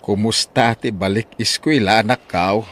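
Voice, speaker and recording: A man with an Ilocano accent playing a mild, caring older village father in a radio drama, with hesitant, drawn-out interjections. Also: on the low side, a bit bright, natural voice. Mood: kindly, curious.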